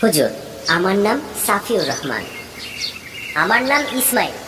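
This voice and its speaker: A woman voicing a polite young madrasa pupil in a cartoon, respectful and deliberate, in an echoey, distant, noisy recording. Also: mid-range voice, big pitch swings. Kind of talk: scripted cartoon dialogue and Quran recitation.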